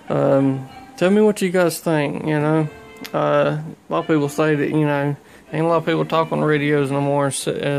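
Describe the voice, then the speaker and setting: A man talks casually as a hobbyist showing his gear. His voice is mid-pitched and gravelly, with very little rise and fall. He speaks in a folksy, colloquial way into a handheld camera in a small room. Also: low-quality recording. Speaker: hobbyist CB radio operator. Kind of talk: casual showcase of own gear. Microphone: handheld phone camera behind the lens.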